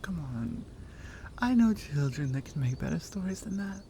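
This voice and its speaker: A woman's voice: sits low, animated, warm tone, slight background noise. A woman playing a strong, teasing Nordic warrior in an ASMR roleplay, with a Nordic accent and a dry, playful, skeptical manner. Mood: amused, skeptical. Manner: playful, dry, conversational with pauses. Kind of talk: ASMR roleplay audio drama.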